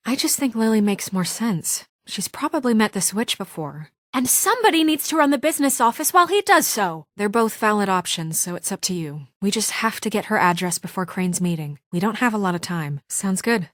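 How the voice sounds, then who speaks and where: Woman voicing a no-nonsense fairy tale office boss lady for a game, urgent, businesslike, medium pitch, really dry recording. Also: expressive, a little fast, few pauses, no echo, lightly acted. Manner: businesslike, urgent, matter-of-fact. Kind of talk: naturalistic video game voice acting.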